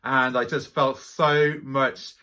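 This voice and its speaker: A man's voice: medium pitch, slow pace. A middle-aged man with a light Welsh accent telling dramatic spiritual stories to camera. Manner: animated, dramatic storyteller. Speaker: spiritual teacher. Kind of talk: storytelling to camera.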